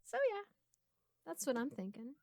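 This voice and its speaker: A woman's voice: sits high, big pitch swings, moderate pace, vocal fry, darkish tone, dry recording. A giggly, chatty woman with an American accent, casually answering fan questions as host. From United States. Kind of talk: casual Q&A chat.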